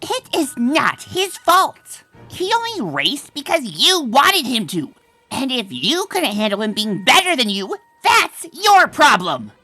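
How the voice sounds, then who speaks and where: A woman's voice: medium pitch, big pitch swings, normal speed, few pauses, pushed and bright, no room sound, faint music behind. A woman voicing a brash, loyal, fiery female pony in a comic dub. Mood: indignant. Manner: brash, emphatic. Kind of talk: comic dub character acting.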